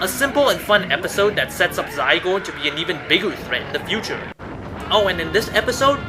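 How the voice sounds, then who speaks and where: A man reads a scripted countdown as the host. His voice sits a little high and moves around in very lively swings. Clear music plays behind him throughout. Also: poor audio. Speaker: host of a top ten list show. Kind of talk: scripted list narration.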